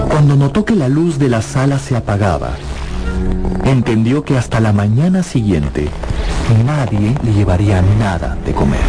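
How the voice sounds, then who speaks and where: A male narrator tells a story for a radio drama with theatrical expression. His mid-pitched voice moves widely up and down, with music clearly playing behind it.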